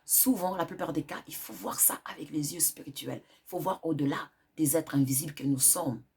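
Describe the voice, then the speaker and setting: A woman's voice: low voice, moderate pace, darkish tone, husky. A woman hosting a wellness channel with a cold, giving earnest spiritual advice and repeating her points for emphasis. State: has a cold.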